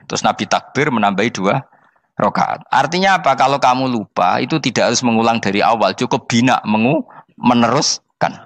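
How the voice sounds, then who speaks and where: A man's voice: mid-range voice, animated, brisk, fairly bright tone, dry recording. A man with a Javanese accent giving an informal religious lecture in a conversational, teasing way, often repeating himself to make a point. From Indonesia. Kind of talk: informal sermon mixing explanation with storytelling.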